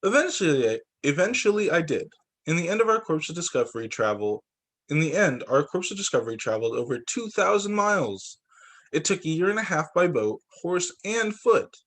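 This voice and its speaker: A man, a teacher, reading a picture book aloud to students in an expressive, deliberate storytelling way. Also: fairly high pitch.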